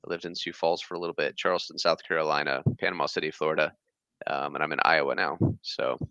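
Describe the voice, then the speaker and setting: A man's voice: extremely low pitch, fairly even intonation, quick pace, pushed and bright, dry recording, dull audio. A man in his thirties who was born in Germany and raised in the US, talking as an interview guest in a casual, rambling way with many fillers. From born in Germany, raised across the US. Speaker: guest, fish channel creator.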